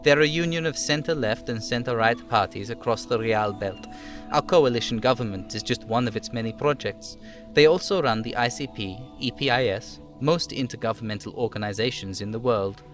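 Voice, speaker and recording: A man voicing a reserved, dutiful police lieutenant from a game, speaking in a measured, formal way. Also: mid-range voice, over music, natural voice. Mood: explaining. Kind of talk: dramatic reading of game dialogue.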